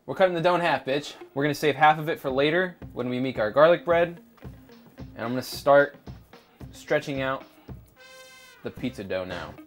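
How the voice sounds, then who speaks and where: A man's voice: mid-range voice, expressive, normal speed, pauses often. A young man co-hosting a casual cooking video, giving step-by-step instructions. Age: young adult. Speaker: cooking show co-host. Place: home kitchen. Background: faint instrumental music.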